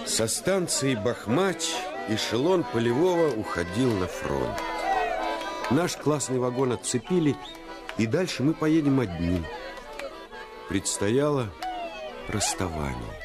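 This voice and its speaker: An actor narrating a radio play in the first person as an earnest, intense storyteller recalling a boyhood adventure. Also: male voice, mid-range voice, big pitch swings, slow, a loud crowd. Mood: reflective. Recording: radio play with music underneath.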